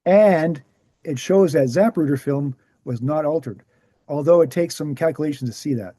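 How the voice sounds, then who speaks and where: A man playing an earnest researcher in a screenplay table read, explaining things in a measured, assured way. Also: on the high side, dark tone, no room sound, natural voice. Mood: assertive. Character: Gary, earnest male presenter, calm debunking researcher.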